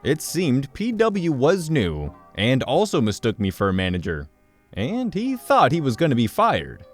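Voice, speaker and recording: A man reads an online story aloud, with his pitch swinging widely as he tells it. Soft music plays behind him. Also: unhurried. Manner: animated storytelling. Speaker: story narrator. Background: soft background music.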